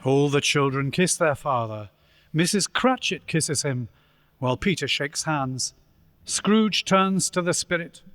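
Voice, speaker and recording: A man narrating a ghostly Christmas radio play as its storyteller, in a theatrical, deliberate manner. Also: on the high side, animated, fairly bright tone, no echo. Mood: gentle storytelling.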